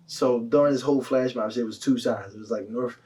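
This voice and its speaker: A man's voice: medium pitch, fast, slightly rough, far from the mic. A young man, a guest telling his story in casual slang full of fillers. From Delaware County, Pennsylvania. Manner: animated, heavy slang, lots of fillers.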